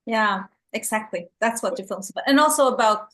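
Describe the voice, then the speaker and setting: A woman's voice: fairly high pitch, lively intonation, brisk, fairly bright tone. A middle-aged woman from El Salvador, a filmmaker speaking English with a Swedish and San Francisco accent, answering interview questions earnestly and often repeating words as she searches for them. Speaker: filmmaker guest.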